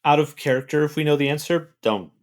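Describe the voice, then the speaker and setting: A man talking casually as a tabletop game player, partly in character, in measured, tentative phrases. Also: on the high side.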